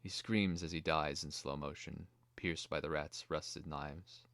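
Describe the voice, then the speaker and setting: A man narrating a screenplay as a scripted dramatic reading, in a measured way. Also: very deep voice, steady tone, fairly bright tone, dry recording.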